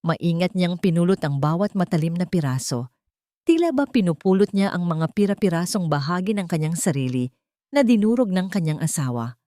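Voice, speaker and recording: Low-voiced woman narrating drama. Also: lively intonation, a little fast, dark tone, dry recording, clean studio sound. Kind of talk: scripted narration of a dramatic love story.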